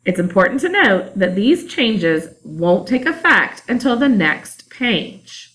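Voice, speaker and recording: A woman teacher narrating a calm instructional screencast about online testing. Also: medium pitch, slow, poor audio. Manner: calm, explanatory.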